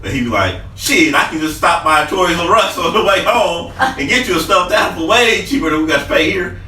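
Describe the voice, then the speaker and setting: A man's voice: fairly high pitch, lively intonation, a little fast, sharp tone, far from the mic, faint music behind. A man with a congested, stuffy nose, co-hosting a casual podcast, joking, swearing freely and mimicking people. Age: adult parent. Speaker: podcast co-host. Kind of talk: casual profane banter. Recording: roomy, off-mic, faint noise.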